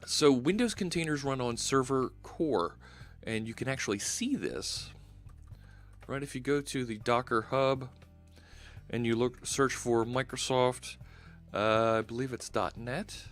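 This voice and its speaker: A man's voice: mid-range voice, a little slow, soft background music. A man in his forties with a Philadelphia accent, hosting a casual coding live stream through a headset and thinking aloud with lots of fillers. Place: home studio, indoors.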